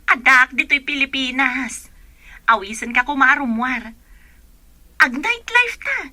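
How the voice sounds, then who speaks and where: An exuberant young Ilocano best friend from a radio drama. She is high and bright, with a very lively pitch swing. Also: unhurried, no echo, exaggerated voice. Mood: excited, coaxing. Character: Rika, outgoing young best friend who loves nightlife.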